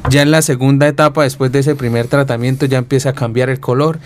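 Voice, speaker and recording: A man's voice: mid-range voice, fairly even intonation, fast, a little hiss or hum. A Colombian man talking casually and conversationally as the host of an interview show. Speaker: radio or podcast host.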